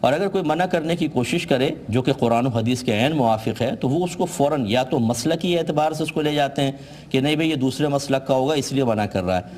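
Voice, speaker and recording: A male cleric explaining his point in measured talk. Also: fairly high pitch, darkish tone.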